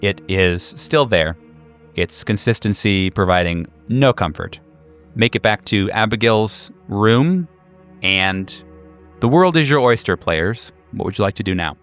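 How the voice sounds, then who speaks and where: Low-voiced man narrating as game master. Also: expressive, unhurried, a bit bright. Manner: descriptive, second-person scene setting. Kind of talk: narrating a tabletop horror game. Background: background music.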